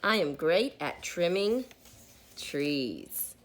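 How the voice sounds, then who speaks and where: A woman's voice: lowish voice, sing-song, extremely slow, exaggerated voice. A woman voicing a cheerful, overconfident, accident-prone female storybook gardener with a breezy, reassuring manner.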